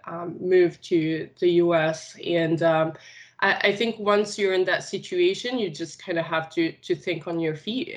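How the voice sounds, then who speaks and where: A woman from Tunisia whose first language is Arabic, answering interview questions in a casual, unhurried way with many filler sounds. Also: on the low side. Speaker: finance recruiter, podcast guest.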